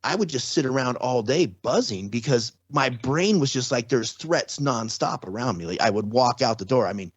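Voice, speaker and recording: A man's voice: medium pitch, lively intonation, normal speed, hardly any pauses, slightly rough, no room sound, highs cut off. A man talking casually as an interview guest, explaining things with repeated, restarted phrases and frequent rhetorical check-ins. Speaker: podcast guest, trauma survivor and former security contractor.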